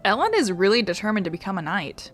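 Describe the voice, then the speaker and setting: A woman's voice: medium pitch, very animated, fast. A young woman giving casual let's play commentary, chatting and rambling as she goes. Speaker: gaming commentator. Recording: faint game music behind the voice.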